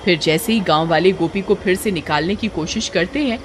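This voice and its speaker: A woman's voice: fairly low pitch, brisk, crowd noise. A woman giving scripted narration of a horror story.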